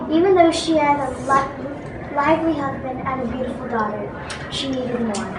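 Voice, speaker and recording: A woman's voice: sits high, fairly even intonation, normal speed. A woman telling a story as a narrator in an amateur readers theatre performance. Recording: loud background noise, echoey room, distant mic.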